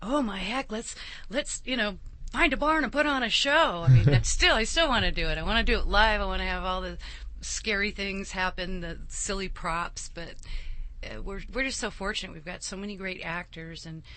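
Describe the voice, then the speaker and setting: Bright-voiced, enthusiastic woman. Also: medium pitch, normal speed. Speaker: guest, radio drama writer. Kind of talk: casual interview chat.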